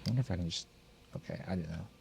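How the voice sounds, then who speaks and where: A man's voice: deep voice, racing, creaky, music in the background. A man doing let's play commentary, reading game text aloud and adding casual remarks. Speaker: gameplay video host.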